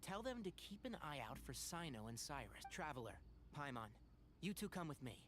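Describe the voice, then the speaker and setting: Animated, sensible young ranger. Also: male voice, on the high side, brisk. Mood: composed. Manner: polite, earnest, articulate. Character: gentle, sensible young male forest ranger, calmly authoritative. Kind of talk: video game character acting. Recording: noisy game stream capture.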